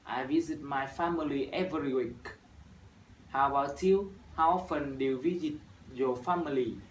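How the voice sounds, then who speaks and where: Vietnamese student in his twenties, rehearsed English. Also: mid-range voice. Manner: measured, rehearsed delivery with "uh" fillers. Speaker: university student. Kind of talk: scripted classroom dialogue practice. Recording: echoey room from far off, faint noise.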